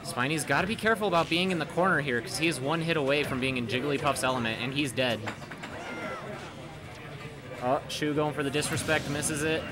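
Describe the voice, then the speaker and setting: A man doing steady play-by-play commentary on a fighting game tournament match. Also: a little high, fairly quick. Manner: steady play-by-play, dry jokes. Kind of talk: esports commentary. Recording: game music underneath.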